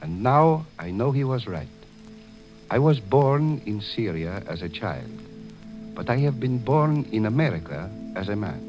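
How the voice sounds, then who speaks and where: Earnest Syrian immigrant, heavy Arabic accent. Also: male voice, lowish voice, sing-song, measured pace, highs cut off, over music. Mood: wistful. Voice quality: heavy put-on accent, solemn declamatory delivery. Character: earnest Syrian immigrant man, proud new citizen. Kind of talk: 1930s radio drama acting.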